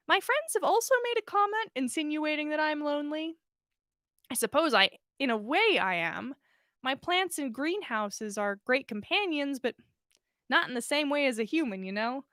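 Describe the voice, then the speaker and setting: A woman podcast host reads fiction aloud with expression. Her voice is high and very varied in pitch, and it sounds dry, with little room around it. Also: a bit bright, no echo. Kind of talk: expressive story reading.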